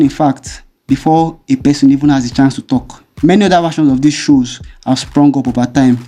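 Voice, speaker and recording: A man hosting a commentary channel, reading a measured, explanatory script. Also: mid-range voice, dark tone. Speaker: video essay host.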